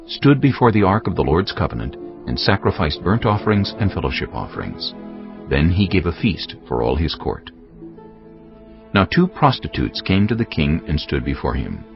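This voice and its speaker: A very low-voiced man narrates scripture formally, with gravelly creak and lively movement in pitch. It is scripted storytelling for a dramatised audio Bible. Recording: slightly dull, music underneath.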